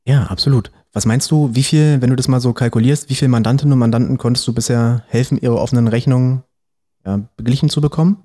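A man hosting a legal podcast and interviewing a guest in a relaxed, friendly way. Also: mid-range voice, fast. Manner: relaxed, friendly questioning with fillers. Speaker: podcast host, lawyer. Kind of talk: casual interview.

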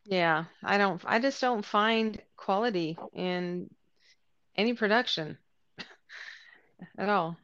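A woman chatting casually in a relaxed way, often murmuring agreement. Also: medium pitch, slow pace, pauses often, smooth, no room sound, dull audio. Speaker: co-host. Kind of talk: casual chat.

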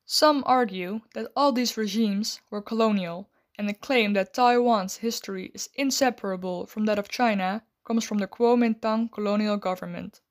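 A woman host giving a scripted, measured explanation and then asking interview questions. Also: medium pitch.

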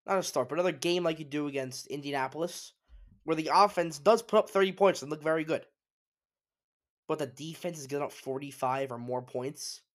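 A man's voice: fairly high pitch, brisk. Congested man giving sports opinions. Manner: opinionated, emphatic, restarts often. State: congested, sniffling. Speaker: sports podcast host. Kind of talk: solo sports talk commentary.

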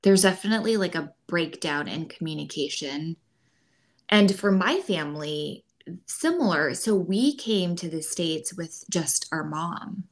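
Agreeable young woman with a lowish voice. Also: lively intonation, a little slow. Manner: agreeable, backchannels often, earnest. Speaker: podcast co-host. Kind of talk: casual chat.

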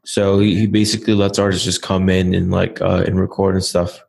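A man co-hosts the conversation, stumbling over his first words before he makes his point. His voice is very low and he keeps his remarks dry. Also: flat delivery, fairly quick, creaky, a little mellow. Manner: stammering, dry. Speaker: co-interviewer. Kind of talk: casual interview.

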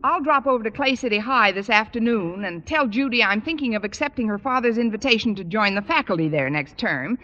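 An actress playing a wry, quick-witted schoolteacher in a 1950s radio sitcom, with dry wisecracking delivery. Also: female voice, a little high, lively intonation, bright, forceful tone. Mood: scheming. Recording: dull old radio recording with faint hiss.

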